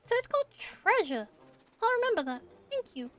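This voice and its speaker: A woman voicing a small, timid, childlike forest spirit with simple, halting, earnest phrasing in a fan-dubbed fantasy game. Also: very high-pitched, big pitch swings, a bit bright, faint music behind, voice pushed up, heavily acted. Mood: hesitant, sincere. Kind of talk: game character voice acting, fan dub.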